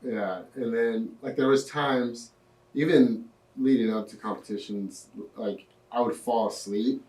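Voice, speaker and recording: A young man in his twenties, a podcast guest telling his story in a relaxed way with lots of fillers. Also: mid-range voice, unhurried, recorded from a distance. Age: 20s. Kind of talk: casual interview. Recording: echoey room, off-mic.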